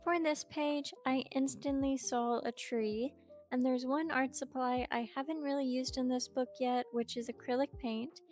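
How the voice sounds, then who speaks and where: A young woman who is a little sick, gently narrating her art process in a chatty, upbeat way. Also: high-pitched, fairly even intonation, moderate pace, soft background music. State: slightly sick. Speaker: art vlogger. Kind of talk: relaxed art tutorial narration.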